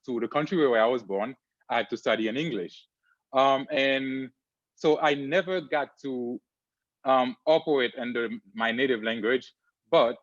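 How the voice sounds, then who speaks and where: A man's voice: mid-range voice, no echo. Male diplomat, explanatory and earnest, muffled dry recording. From Haiti. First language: Haitian Creole. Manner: earnest, explanatory, with many fillers. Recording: dry, dull lo-fi sound.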